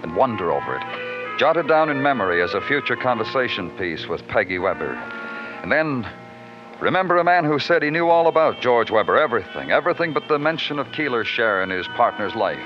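A man acting a hard-boiled, dryly relentless detective in a 1950s radio crime drama, with clipped, deadpan, poetic phrasing. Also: medium pitch, fairly quick, natural voice. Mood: brooding, wry. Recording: muffled old radio recording with music.

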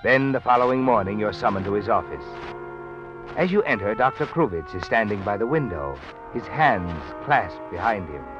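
A low-voiced, sardonic American storyteller played by a man narrates a vintage radio thriller with knowing suspense and swooping emphasis. Clear music plays behind him. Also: expressive, normal speed, highs cut off, close to an everyday voice. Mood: suspenseful. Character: omniscient, sardonic male storyteller needling the protagonist. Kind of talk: 1940s radio thriller narration, second person. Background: dramatic music.

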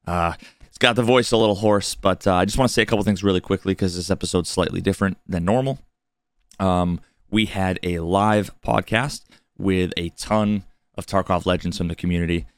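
A man with a hoarse voice, very deep and smooth underneath, talks through a recap in an easygoing way, pausing often on "uh" and "um". The recording is dry and close, with no room sound. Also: steady tone, dry recording. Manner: laid-back, many "uh" fillers. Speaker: podcast co-host. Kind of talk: solo intro recap.

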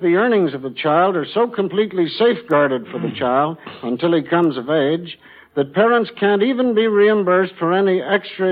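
A man's voice: high-pitched, phone-quality sound. An American man speaking formally and courteously as a guest quizmaster, in prepared talk on a 1940s radio broadcast. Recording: thin band-limited 1940s radio broadcast.

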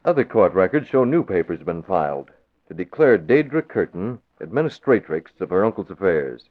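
An actor playing a calm, measured newspaper publisher who presses with pointed questions, in 1940s radio acting style. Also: male voice, low voice. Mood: probing. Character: cool, probing male newspaper publisher. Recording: tinny phone-like old radio recording.

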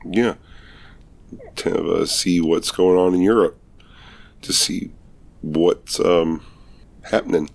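A very deep-voiced man with a husky, hoarse rasp from a recent cold. He co-hosts a podcast in loose, rambling chat, often poking fun at himself. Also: slow pace, pauses often. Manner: laid-back, rambling, self-mocking. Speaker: podcast co-host.

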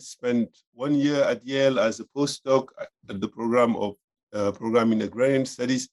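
A man in his fifties, a professor being interviewed, answering thoughtfully with many pauses and uhs. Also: mid-range voice, a little mellow. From Senegal. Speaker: professor, guest.

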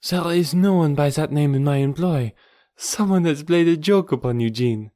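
A man's voice: a little high, normal speed, dark tone, dry recording, studio quality, voice pushed up, lightly acted. A man voicing an alarmed, passionate young French countess in dramatic audiobook dialogue. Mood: puzzled, tense.

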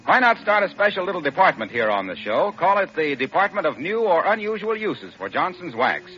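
An American man working as a 1940s radio announcer, delivering folksy, polished sponsor pitches and introductions. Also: sing-song, a little fast, a bit bright, a little hiss or hum. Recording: dull, lo-fi 1940s radio recording.

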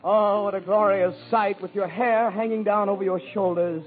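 A man's voice: sits high, steady tone, moderate pace, phone-quality sound, close to an everyday voice. A man playing an overwrought, gushing suitor in a florid mock love speech on 1940s radio, on a vintage recording. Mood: gushing, rapturous. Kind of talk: 1940s radio comedy monologue.

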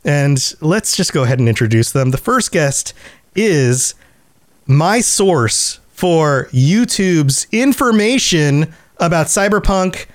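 A man hosting a podcast in casual chat, a bit hoarse from a sore throat, rambling and correcting himself. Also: a little high, dry recording. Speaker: podcast host.

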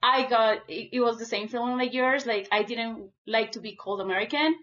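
A woman's voice: fairly high pitch, brisk, fairly bright tone. A woman from Colombia co-hosting a podcast, chatting casually with many likes and restarts. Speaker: podcast co-host. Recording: muffled lo-fi audio.

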